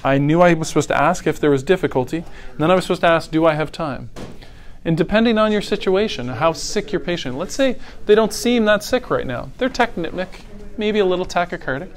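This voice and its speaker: A man's voice: high-pitched, expressive, brisk. Conversational man teaching paramedics. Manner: conversational, asks rhetorical questions, acts out scenarios. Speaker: paramedic educator. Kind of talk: teaching lecture on airway decisions.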